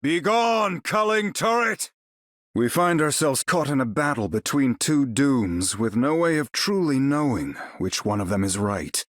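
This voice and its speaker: An actor playing a proud, battle-ready sorcerer hero in a video game, speaking in a grandiose, formal, theatrical manner. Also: male voice, medium pitch, lively intonation, unhurried, no echo, clean studio sound, natural voice. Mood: commanding, urgent. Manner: grandiose, formal, incantatory delivery. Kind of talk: video game character voice lines.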